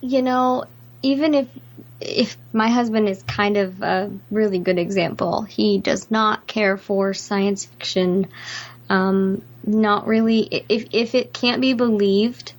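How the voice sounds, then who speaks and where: A woman's voice: medium pitch, steady tone, slow. A woman co-hosting a podcast in an informal, chatty style. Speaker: podcast co-host. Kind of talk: casual chat.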